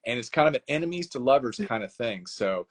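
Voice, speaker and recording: A man, an author being interviewed, telling his book's plot in a casual, animated way and acting out bits of dialogue. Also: medium pitch, fast talker. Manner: animated storyteller, acts out dialogue with laughs. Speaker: author guest.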